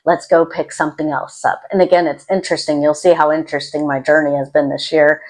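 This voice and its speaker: Woman, slightly low pitch, video host, casual chat, introverted, rambling, self-deprecating. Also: level tone, fast. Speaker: YouTube host. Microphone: external microphone.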